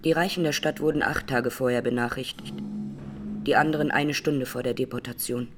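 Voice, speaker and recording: An actress playing an Armenian woman in her twenties, a massacre survivor giving measured, sober testimony in a radio drama. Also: rumbling low, steady tone, over music. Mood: grave, sober. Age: 20s.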